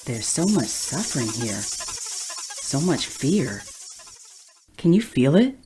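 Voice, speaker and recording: Solemn, low-voiced anguished woman. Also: sing-song, mellow, faint music behind, full character voice. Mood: haunted sorrow. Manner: halting, solemn, intense. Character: anguished empathic woman pitying caged lab animals. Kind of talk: audio drama acting.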